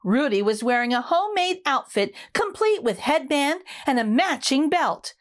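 A woman reads a children's storybook aloud. Her voice is high and very lively in pitch, with animated, emphatic delivery. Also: moderate pace. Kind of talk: expressive read-aloud for young children.

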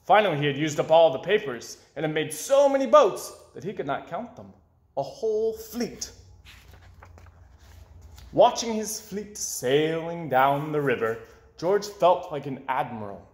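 A young man reading a children's story aloud in an expressive way, with dramatic pauses. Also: a little high. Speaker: storybook reader. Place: small indoor room with bookshelves. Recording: roomy, echoey indoor sound.

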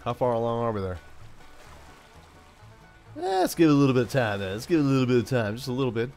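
A man hosting a gaming channel, chatting playfully through a let's-play, stuffed up from a cold and now and then yelling out excited bursts. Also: mid-range voice, fast, faint music behind. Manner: playful, talks to himself, yells bursts like "send it". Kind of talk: casual let's-play commentary.

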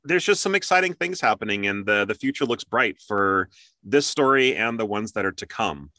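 A man's voice: lowish voice, animated, moderate pace, pushed and bright, dry recording, clean studio sound. A man hosting an interview show in an upbeat, chatty, promotional way.

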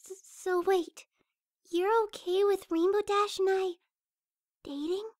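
A woman voicing a shy, gentle young female pony, soft and hesitant, in an amateur audio drama. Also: very high voice, fairly even intonation, slow pace, no room sound, studio quality. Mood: hesitant, timid. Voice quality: soft, quiet. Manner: hesitant, stammering.

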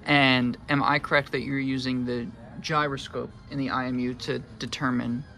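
A man's voice: medium pitch, little pitch movement, normal speed, gravelly, pushed and bright, soft background music. A man in an instructor's role, asking inquisitive conversational questions and checking his understanding step by step. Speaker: instructor interviewing students. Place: electronics lab, indoors.

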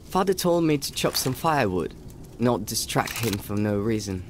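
An actor playing a dutiful, gentle village boy in a video game, speaking in an earnest storybook manner. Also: lowish voice, very animated, moderate pace, a little hiss or hum. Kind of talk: scripted video game narration and dialogue.

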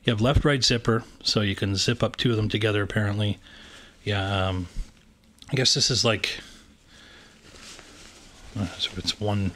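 A man's voice: fairly low pitch, fairly even intonation, moderate pace, pauses often, a bit bright. A Canadian man talking casually and hesitantly, with many fillers, while unpacking and reviewing camping gear indoors on a handheld camera. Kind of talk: casual unboxing commentary. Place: indoors, room with wooden floor. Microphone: handheld camera pointed down.

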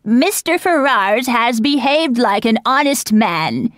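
A woman's voice: on the high side, sing-song, slow pace, a bit bright, no room sound, studio quality, exaggerated voice. A woman voicing an outspoken, gossipy older widow who talks in a blunt, chatty way.

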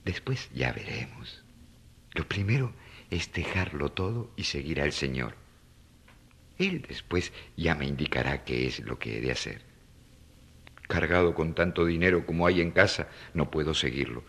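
An actor playing a devout, resolute young son in a radio drama, speaking earnestly and respectfully. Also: male voice, very deep voice, animated, unhurried, creaky, fairly bright tone, husky, full character voice.

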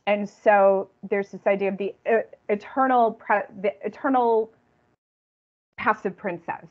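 A woman lectures informally over a video call. Her pitch is average and she proceeds haltingly, reworking phrases as she speaks. The audio is dull and lo-fi. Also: fairly even intonation. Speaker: guest lecturer and author. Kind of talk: informal academic online talk. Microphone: computer video call.

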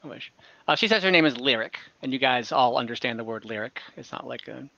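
A man's voice: mid-range voice, lively intonation, fast, creaky, bright, forceful tone, no echo, muffled recording. A man narrating a tabletop game as game master on a video call, easygoing and often correcting himself. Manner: laid-back, self-correcting narration.

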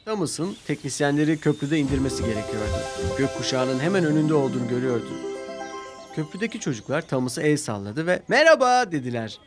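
Animated male storyteller over music. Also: medium pitch, fast talker. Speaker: narrator. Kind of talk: expressive scripted children's story narration.

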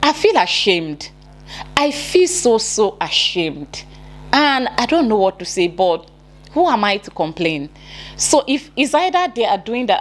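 A woman with a Nigerian accent talking to camera in an emphatic, defiant vlogging style, often repeating herself for weight. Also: fairly low pitch. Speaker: YouTuber. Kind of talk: opinionated talking-head vlog. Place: indoor room at home.

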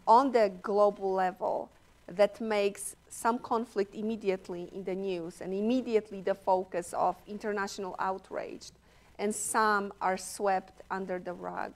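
A woman speaking as a professor on a panel, thoughtful and measured, pausing often. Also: medium pitch. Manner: measured, thoughtful, slow with pauses. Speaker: panelist, political science professor. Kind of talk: panel discussion answer. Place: stage at a law school panel table.

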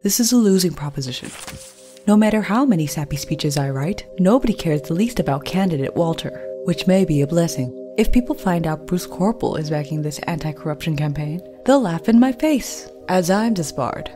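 A woman's voice: fairly low pitch, lively intonation, fairly quick, music in the background, lightly acted. A woman voicing an exasperated, sardonic political spokeswoman in a comic book dub. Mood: weary. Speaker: voice actor.

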